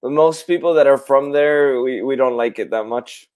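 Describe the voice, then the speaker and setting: A relaxed man from Puerto Rico who speaks. He co-hosts a live online English class, joking easily with the viewers and laughing often. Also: mid-range voice, normal speed. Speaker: co-host teacher.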